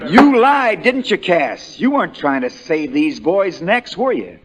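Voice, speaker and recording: An actor playing a shrewd, folksy American country lawyer, relentless in questioning, in the style of 1940s radio drama. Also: male voice, high-pitched, very animated, unhurried, crowd noise. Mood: fierce, accusing. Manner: drawling folksy understatement that builds into hammering accusation.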